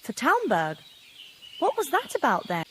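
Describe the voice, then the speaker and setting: A young village woman in a game cutscene, fairly high-pitched and lively. She talks frankly in folksy country phrasing. Faint noise lingers behind her. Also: measured pace, heavily acted. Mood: curious, teasing. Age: young adult. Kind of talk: video game cutscene acting.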